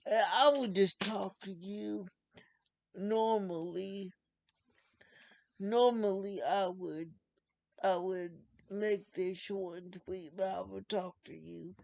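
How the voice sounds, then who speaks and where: A man's voice: high-pitched, a little mellow. A young man from North Carolina, speaking earnestly and unhurriedly to camera, often repeating himself. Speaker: video blogger. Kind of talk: casual direct-to-camera talk.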